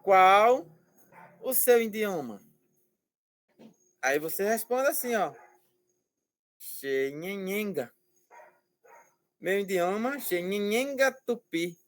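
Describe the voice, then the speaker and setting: A male language teacher explaining slowly and patiently over a video call, repeating words and checking that students follow. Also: high voice, animated, a bit bright, dry recording. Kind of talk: online class explanation.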